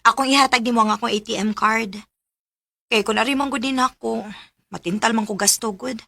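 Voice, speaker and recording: A young woman playing a gentle, free-spending girlfriend in an amateur radio drama. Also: on the high side, fairly quick, gravelly, no room sound, exaggerated voice. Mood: coaxing.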